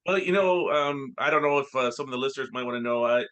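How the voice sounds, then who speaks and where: Bright-voiced rambling man. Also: medium pitch. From Canada. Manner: rambling, halting storyteller with frequent filler sounds and chuckles. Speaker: podcast co-host. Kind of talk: casual comedic chat.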